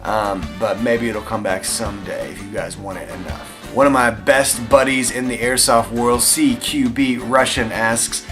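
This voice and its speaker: A man's voice: on the low side, measured pace, few pauses, music in the background. A young man with a Texan accent hosting a casual vlog, answering viewer questions in an enthusiastic, chatty way with frequent fillers. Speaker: airsoft channel host answering viewer questions.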